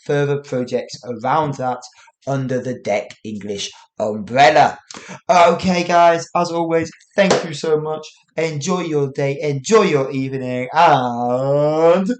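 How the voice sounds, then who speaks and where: A man hosting his own English-learning podcast, talking casually to listeners with frequent "you know" asides. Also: on the high side. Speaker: English teacher and podcast host. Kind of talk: solo educational podcast talk.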